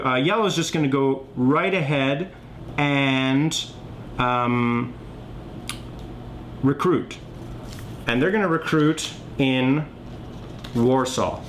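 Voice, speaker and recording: A man in his forties giving an informal board game tutorial, thinking aloud in a halting way, recorded in a home kitchen. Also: medium pitch, fairly bright tone. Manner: thinks aloud, halting, often adds "okay?". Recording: noisy home camera audio with room ambience.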